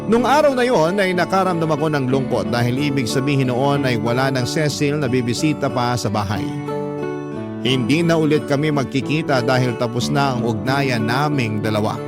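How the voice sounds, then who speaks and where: A man's voice: medium pitch, normal speed, natural voice. A man acting as the narrator of his own bittersweet love story in a radio drama. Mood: wistful. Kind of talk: dramatized radio letter narration in first person. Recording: loud guitar music under the voice. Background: plucked guitar music.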